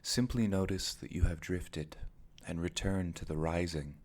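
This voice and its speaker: Very deep, slow-speaking male meditation guide. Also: a little mellow. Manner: measured, deliberate phrasing with pauses. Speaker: meditation teacher.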